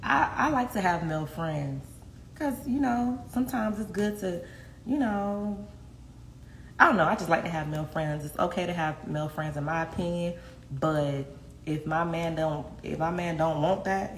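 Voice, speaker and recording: A young woman chatting candidly with viewers on a phone livestream from her home kitchen, laughing often and swearing freely. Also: deep voice. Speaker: livestream host. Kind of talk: casual livestream chat with viewers. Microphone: phone at arm's length. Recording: echoey kitchen with faint noise.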